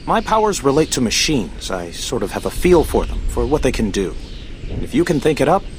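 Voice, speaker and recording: A man's voice: mid-range voice, sing-song, a little fast, soft background music, natural voice. An actor playing a matter-of-fact male mutant inventor in a video game, explaining things in practical terms. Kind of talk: video game cutscene acting.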